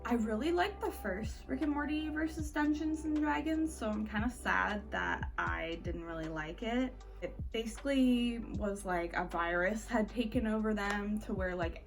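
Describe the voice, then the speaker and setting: A woman's voice: medium pitch, a bit bright. Chatty woman reviewing books over music. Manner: informal, conversational, wry asides. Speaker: book vlogger. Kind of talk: casual chatty book review.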